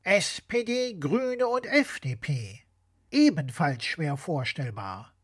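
Slow woman with swooping intonation. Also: low-pitched, sing-song, slightly rough. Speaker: co-presenter. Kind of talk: scripted explainer dialogue.

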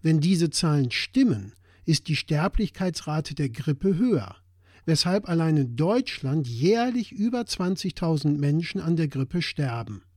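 A man's voice: a little high, sing-song, measured pace, darkish tone, no room sound, studio quality. A man acting as a concerned citizen in a scripted satirical dialogue about current affairs. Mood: matter-of-fact. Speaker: audio play dialogue speaker.

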